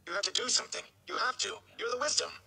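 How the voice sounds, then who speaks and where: Desperate young farm lad for a fantasy storybook, acted by a man: earnest, urgent, pitched up, very bright and tense. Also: fast, gravelly, slight background noise, heavily acted.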